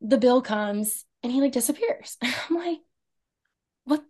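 A woman in her thirties with a fairly deep voice, telling a dating story in casual chat and acting out the quotes. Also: unhurried, breathy edge. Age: 30s. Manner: animated storyteller, acts out quotes, laughs often. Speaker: podcast guest, esthetician.

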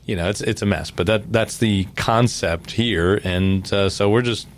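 A man who is a pastor hosting a podcast, talking in a relaxed, rambling way. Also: lowish voice, moderate pace, a little hiss or hum. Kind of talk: casual chat.

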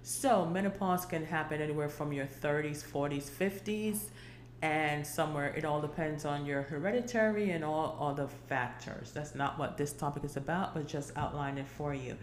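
A woman's voice: low-pitched, normal speed, reverberant, a little hiss or hum. A woman in her forties hosting a casual solo podcast, chatty and rambling, with many fillers and restarts. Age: 40s. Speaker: podcast host.

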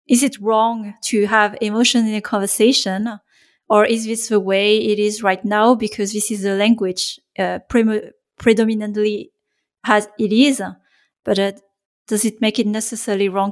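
Thoughtful higher-voiced woman interviewer. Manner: thoughtful, hesitant, many fillers. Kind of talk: conversational interview.

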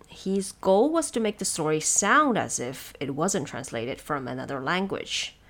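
A woman with a low voice hosts an explanatory segment for an audio series. She speaks from prepared notes in a relaxed, chat-like style, addressing listeners directly and setting out each point in a measured way. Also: gravelly. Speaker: podcast host and narrator of production notes.

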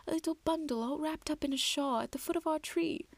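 A woman voicing an anxious, loving wood troll mother in a soft, tremulous, breathy voice for a storybook. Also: sits high, fairly quick, no room sound, voice pushed up. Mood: tearful, confessing.

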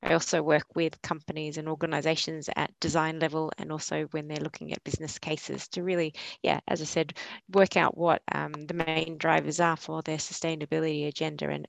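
A woman's voice: sits low, flat delivery, brisk, vocal fry, no room sound, rough recording. A woman with an Australian accent, speaking as a panel guest in a webinar, earnest and professional. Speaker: sustainability consultant, panel guest. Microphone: video call.

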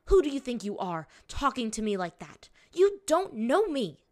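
A woman's voice: medium pitch, big pitch swings, a little fast, natural voice. A woman voicing a fierce, bitter young waterbender woman in an audiobook-style story, proud and snapping. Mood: threatening. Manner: snapping, snarling delivery.

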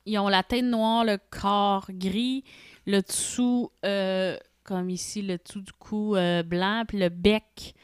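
A woman with a Quebec French accent and a medium-pitched voice, captured dry and close. She banters casually like a podcast co-host, playfully needling her partner and prompting the conversation along. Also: fairly even intonation, takes it slowly, clean tone, dry recording.

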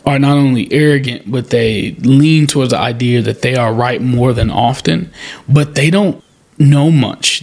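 A man's voice: mid-range voice, vocal fry, breathy edge. A man hosting a podcast, explaining his ideas in a loose, conversational way with lots of "like" and "you know.". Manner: loose, conversational, many fillers. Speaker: podcast host. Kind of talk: casual podcast talk.

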